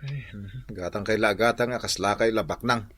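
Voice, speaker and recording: A man's voice: fairly low pitch, moderate pace, fairly bright tone, no echo. An actor playing a middle-aged, thrifty father who grumbles and exclaims in a radio drama, with an Ilocano Filipino accent. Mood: disapproving.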